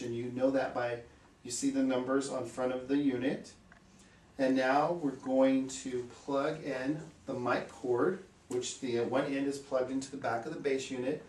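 A man's voice: medium pitch, a little mellow. Male instructor, forties, plain step-by-step demo delivery, distant mic in a reverberant meeting room. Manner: plain, methodical step-by-step explaining. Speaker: instructor for an equipment rental company. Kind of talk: hands-on equipment tutorial. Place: small indoor meeting room. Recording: roomy echo, distant mic, background hiss.

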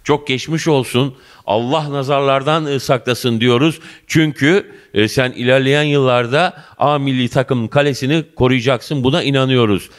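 A man in his fifties interviewing a student in a school office, effusive and warm in address, holding a handheld mic. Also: mid-range voice, fairly even intonation, normal speed. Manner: effusive, addresses viewers and guest as "dear". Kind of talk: local TV interview.

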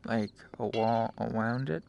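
A man giving casual live gameplay commentary as a streamer, halting and talking in short phrases, often mumbling to himself. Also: mid-range voice, gravelly, a little mellow. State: frequent coughing. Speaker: game streamer. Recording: noisy home stream microphone.

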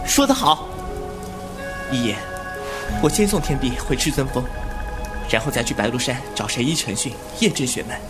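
A man's voice: medium pitch, big pitch swings, normal speed, long pauses, over music, close to an everyday voice. A man playing a cheeky young martial-arts hero in a wuxia audio drama, playful, mouthy and mock-grumbling. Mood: eager.

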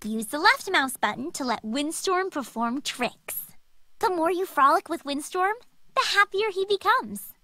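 A woman's voice: very high-pitched, animated, unhurried, pushed and bright, no room sound, full character voice. A woman playing an enthusiastic, cheerful teenage horse-loving girl in a video game, speaking in an encouraging way. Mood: instructive, bright. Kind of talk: video game character voice acting.

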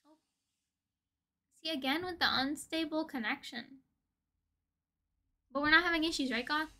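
A young woman talks casually to her livestream viewers. Her voice is very high and bright. She is playful and expressive, with plenty of slang in an informal, chatty flow. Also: moderate pace. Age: young adult. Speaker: livestream host. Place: indoor room with desk and shelves of collectibles.

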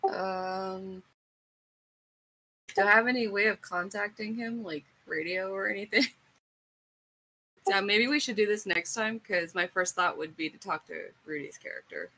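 A woman's voice: mid-range voice, unhurried, pauses often, sharp tone, poor audio. A woman chatting casually as a player in a tabletop game. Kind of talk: casual chat during a game.

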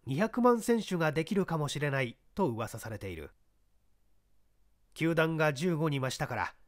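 A man reads fiction aloud as an audiobook narrator. His voice sits a little high and moves with lively intonation through a dramatic, scripted narration. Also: no echo, clean studio sound.

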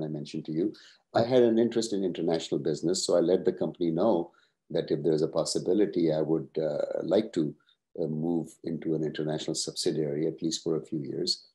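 A man in his sixties, originally from Pakistan, answering interview questions over a video call at a measured pace, with many "uh" pauses. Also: low voice, warm tone. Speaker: guest, professor and retired executive.

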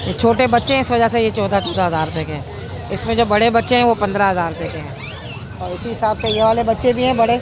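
A woman's voice: medium pitch, quick pace, crowd noise, background noise. An older woman, a bird seller, steadily listing prices at an outdoor market stall into a handheld mic.

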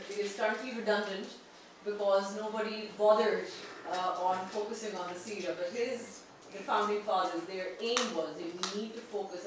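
A woman from Pakistan, earnestly and articulately putting a question and comment at a seminar. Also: medium pitch. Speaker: audience member, barrister and entrepreneur. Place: large indoor meeting room. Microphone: far room camera. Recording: distant mic, very echoey room, faint noise.

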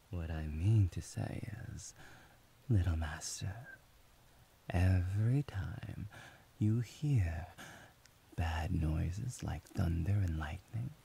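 A tender, devoted young servant, played by a man in an ASMR roleplay, murmuring in a very deep, mellow voice. He drifts into soft whispers and speaks at an unhurried, lingering pace, soothing and affectionate throughout. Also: gravelly, a little hiss or hum, heavily acted. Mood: doting.

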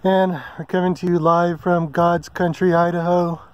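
A man hosting a casual camping vlog from a mountain meadow, talking in a relaxed, rambling way with many ums and uhs. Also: high-pitched, moderate pace, lo-fi sound. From Hawaii. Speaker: vlog host. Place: open mountain meadow campsite outdoors.

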